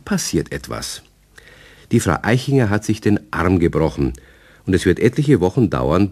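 A man's voice: low-pitched, darkish tone. An actor as the male narrator of a German children's radio play, telling the story in scripted narration.

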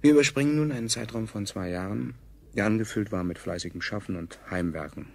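A man acting as the coolly sardonic chronicler of a doomed science colony, narrating a radio play in a clinical, ironic deadpan. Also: low voice, heavily acted. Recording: 1970s radio production, clear background noise.

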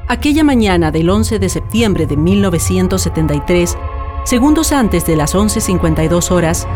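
A woman reading scripted, dramatic historical narration for a radio play. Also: mid-range voice. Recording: music bed under narration.

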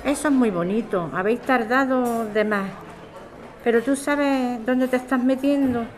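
A woman's voice: a little high, a little slow, lightly acted. A woman with a Spanish accent from Spain playing a caring, questioning grown daughter in a radio drama. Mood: accepting. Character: caring, doubtful grown daughter who runs a bar. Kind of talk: radio serial acting. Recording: crowd chatter behind the voice.

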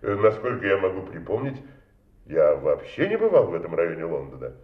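Genteel suspect for audio drama: urbane, ironic, low voice with lively swings, slightly distant. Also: male voice, a little fast, echoey room, phone-quality sound. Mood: ironic, composed. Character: genteel, evasive wealthy gentleman under suspicion.

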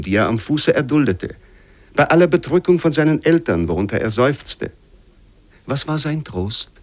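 A man reading literary prose aloud as scripted narration, with measured, formal phrasing. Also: mid-range voice, dry recording. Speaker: literary reader.

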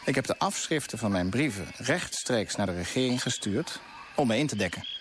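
A resolute colonial official, acted by a man in a radio play, speaks in formal, firm declarations. His pitch sits in the ordinary male middle. There is clear ambient noise behind the voice. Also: animated, brisk, close to an everyday voice. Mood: determined. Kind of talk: Dutch radio play acting.

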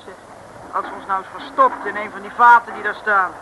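A woman's voice: mid-range voice, steady tone, brisk, background noise, natural voice. A woman playing a practical, persistent detective sidekick with a pert, matter-of-fact manner in an old radio comedy serial. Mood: pert, insistent. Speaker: voice actor. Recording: vintage mid-century radio recording.

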